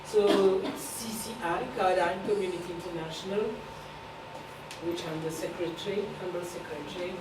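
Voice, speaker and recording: Lowish-voiced woman, echoey room. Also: fairly even intonation, moderate pace, distant mic. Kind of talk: announcement and remarks to a gathering. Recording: echoey, noisy room from afar.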